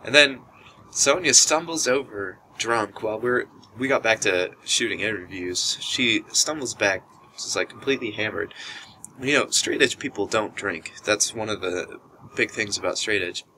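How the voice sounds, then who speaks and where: A young man tells a story casually to his camera. His voice is fairly low but bright and forward, and he livens up as he acts out people's words. A cheap camera microphone adds some background noise. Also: normal speed, poor audio. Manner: animated retelling, acts out quoted speech. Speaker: storytelling vlogger. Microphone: built-in camera microphone.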